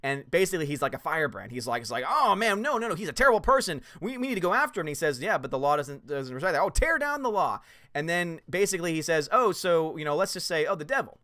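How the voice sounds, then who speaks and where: A man's voice: on the high side, fast talker, no room sound, clean studio sound. A man hosting a casual livestream, reading out viewer comments and putting on mocking voices. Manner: animated, puts on mocking voices. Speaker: host reading live chat.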